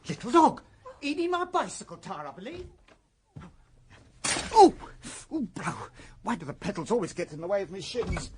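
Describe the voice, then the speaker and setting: An actor playing an awkward, evasive, wistful would-be shopkeeper in a radio drama, with an English accent and clipped, hesitant phrasing. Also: male voice, sits high, big pitch swings, normal speed, pauses often, noisy background. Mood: flustered.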